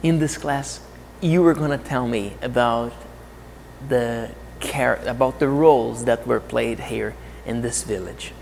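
Brazilian male English teacher, 30s, gravelly edge, slow deliberate delivery, reverberant set with faint ambience. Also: medium pitch, a little mellow, a little hiss or hum. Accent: Brazilian Portuguese. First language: Portuguese. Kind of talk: slow, clear English lesson to camera. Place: outdoor courtyard of a TV set.

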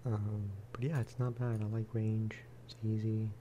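A man speaking softly in a low-key, rambling ASMR style as he chats over gameplay. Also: level tone, a little slow, lo-fi sound, slight background noise. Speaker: gaming video creator. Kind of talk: soft-spoken ASMR gameplay chat.

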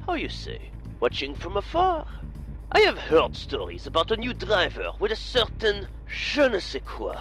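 An actor playing a flamboyant, gloating French rival driver in a campy game cutscene, pompous and theatrical with a French accent. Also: male voice, high-pitched, fairly bright tone, heavily acted. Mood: sly, smooth.

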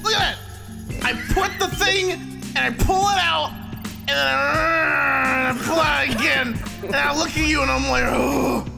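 A man voicing an unhinged, petty mad scientist villain in an improvised comedic game dub, bombastic, ranting and repetitive, with a gravelly, strained shout. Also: very high-pitched, unhurried, heavily acted. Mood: indignant, flustered. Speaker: fandub voice actor. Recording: loud music under screen-recorded voice.

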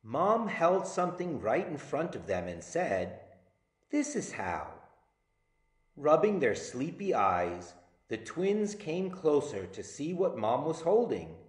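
A middle-aged man reading aloud to children as a teacher, in a playful, deliberate, expressive way. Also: fairly high pitch. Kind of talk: children's story time read-aloud.

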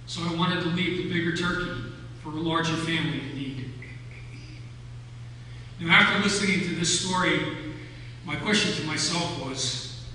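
Older man, preacher. Bright, forceful voice, measured sermon delivery, heard from far off in a reverberant church. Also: on the high side. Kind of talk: sermon, telling stories from notes. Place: large church sanctuary, at the pulpit. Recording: echoey church hall from far off, hum.

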